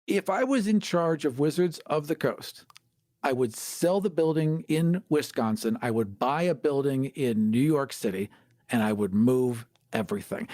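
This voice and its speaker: A man's voice: medium pitch, a little mellow, dry recording, studio quality. A man hosting a podcast in casual discussion, earnest and opinionated in how he talks. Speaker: podcast host and producer.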